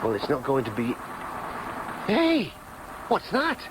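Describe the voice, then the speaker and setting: Eager, lively-voiced Northern man. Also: mid-range voice, takes it slowly, background noise. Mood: determined. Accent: Northern English. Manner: insistent, questioning, excitable. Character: eager, dogged Northern man searching for his father. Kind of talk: naturalistic radio drama acting.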